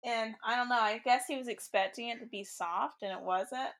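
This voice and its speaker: Giggly young woman with a girlish voice. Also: fairly quick. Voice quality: high, girlish voice. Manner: giggly, animated storyteller. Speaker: podcast co-host. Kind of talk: casual chat.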